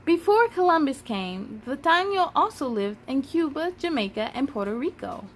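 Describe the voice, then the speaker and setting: A woman's voice: high voice, sing-song, normal speed, a little hiss or hum. A woman narrating a children's storybook as a read-aloud, in scripted storytime style. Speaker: storytime reader.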